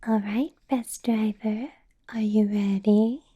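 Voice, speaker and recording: A woman's voice: mid-range voice, fairly even intonation, mellow, muffled recording. Woman as a whispering, possessive vampire boss. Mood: coaxing, businesslike. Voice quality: breathy. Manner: slow, coaxing, intimate whisper with murmured hums. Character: possessive, doting female vampire boss, smooth-talking and money-minded. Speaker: ASMR roleplay performer. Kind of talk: whispered ASMR roleplay.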